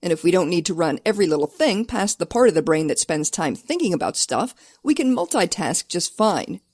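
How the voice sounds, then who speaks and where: A woman's voice: lowish voice, big pitch swings, brisk, darkish tone, breathy edge, dry recording, clean studio sound. A woman reading scripted science narration in a conversational, rhetorical way.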